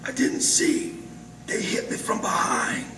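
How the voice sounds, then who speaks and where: A weak, dying man in an old-style radio noir, acted by a man, gasps out words very slowly. His breathy, faltering voice sits in an echoey room with clear background noise. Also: natural voice. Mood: weak, fading. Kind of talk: 1940s radio noir acting. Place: live presentation in a roomy gallery.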